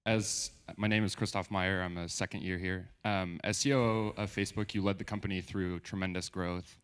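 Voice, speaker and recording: A man's voice: sits low, fairly even intonation, fairly quick, clean tone. A young male student asking a wry question at a university talk.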